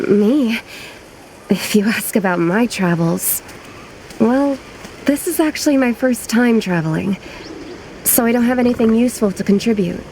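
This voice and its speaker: A woman's voice: on the high side, lively intonation, moderate pace, long pauses, darkish tone, a little hiss or hum, rough recording. A woman voicing a modest, sincere young traveller in a video game, unassuming and thoughtful. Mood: hesitant. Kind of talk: video game character acting.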